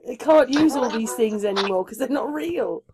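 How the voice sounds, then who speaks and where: A woman's voice: on the high side, lively intonation, normal speed, noisy background. A woman hosting a casual group chat, chatty and asking rhetorical questions. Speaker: chat host.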